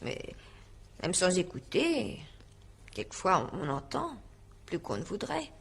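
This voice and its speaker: Gossipy, chattering housemaid witness. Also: female voice, fairly low pitch, huge pitch swings, a little slow, creaky, breathy edge, exaggerated voice. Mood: coyly self-justifying. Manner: colloquial, hesitant then eagerly confiding, self-justifying. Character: gossipy, naive, talkative young housemaid giving testimony. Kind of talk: radio drama acting.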